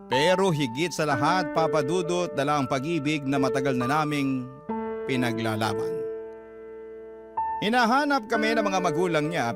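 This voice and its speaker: Pinoy guy radio host reading heartfelt stories, dramatic narration, music loud behind him. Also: fairly high pitch. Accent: Filipino. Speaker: radio show host and story reader. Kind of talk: dramatic narration of listener love letters. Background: loud instrumental music.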